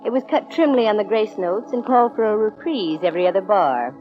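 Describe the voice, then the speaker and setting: A woman's voice: mid-range voice, animated, fairly quick, soft background music, close to an everyday voice. A woman playing a wry, flippant, breezy private eye heroine in 1940s radio drama style. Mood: wry storytelling. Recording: dull vintage 1940s radio recording.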